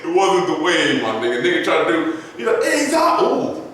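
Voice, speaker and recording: A young adult man, a rapper guest, talking casually and slangily on a podcast, his voice jumping up and getting loud when he gets animated. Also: brisk. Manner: laid-back, slangy, climbs high and loud when animated. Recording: echoey room, distant mic, faint noise.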